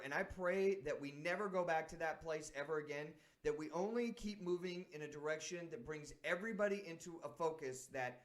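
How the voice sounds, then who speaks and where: A man's voice: fairly high pitch, a bit bright. A man from San Diego, hosting a sports talk show and reflecting earnestly in a casual way. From San Diego, United States. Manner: earnest, thinking aloud with restarts.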